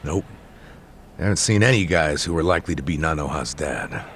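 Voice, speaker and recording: An actor playing a gruff, matter-of-fact male companion in a video game. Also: very deep voice, fairly quick, a little hiss or hum. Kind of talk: English game dub acting.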